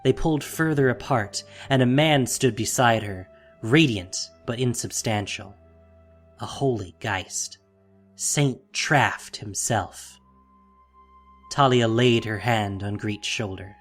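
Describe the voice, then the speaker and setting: A man's voice: mid-range voice, slow, soft background music. A man narrating a scripted fantasy story in a dramatic, solemn way.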